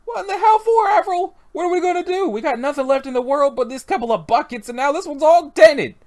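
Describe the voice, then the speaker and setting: Amateur performer as panicky backwoods hothead: loud, high, folksy, exasperated. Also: animated, quick pace. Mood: panicked.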